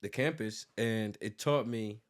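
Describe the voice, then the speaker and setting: Low-voiced American man, rambling casually. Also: a little slow. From United States, born in New York and raised in Virginia. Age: 30s. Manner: rambling, frequent "like" and self-corrections. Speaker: interview guest, graphic designer and business owner. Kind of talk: casual conversational interview.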